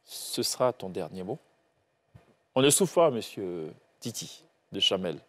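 A man's voice: low-pitched, big pitch swings, a bit bright. A young man hosting a televised spelling quiz in a studio, teasing contestants and drawing out questions. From Côte d'Ivoire. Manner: playful, teasing, drawn-out questions. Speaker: game show host. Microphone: lapel mic.

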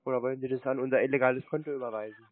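A man's voice: medium pitch, steady tone, rapid-fire, no room sound. A man chatting casually on the phone as a prankster, teasing people with feigned earnestness. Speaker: prank caller answering scam calls. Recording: narrow, tinny phone-like sound.

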